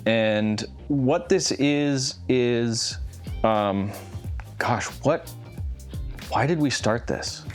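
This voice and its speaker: A man's voice: mid-range voice, very slow, long pauses, faint music behind. A middle-aged man, a video editor, chatting casually in an interview on a lapel mic. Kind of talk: casual behind-the-scenes interview.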